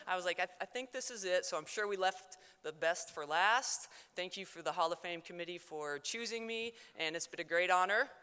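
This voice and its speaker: A young woman with a mixed South Dakota and Texas accent gives a loud, fast, joking acceptance speech at a banquet. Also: on the low side, clean tone. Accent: mix of South Dakota and Texas. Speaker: hall of fame inductee giving acceptance speech. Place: banquet hall. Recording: hall reverb.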